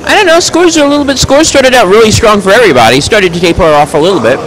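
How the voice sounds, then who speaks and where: A man giving live play-by-play commentary on a bowling match in a bowling alley. He has a loud, projecting voice and makes chatty, joking asides between calls. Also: high-pitched, animated, rapid-fire, low-quality recording.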